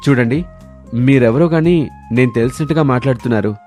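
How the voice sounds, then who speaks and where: A man's voice: medium pitch, brisk, mellow, faint music behind, lightly acted. A man voicing an ambitious, self-serving grown son in scripted story dialogue. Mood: cold, dismissive.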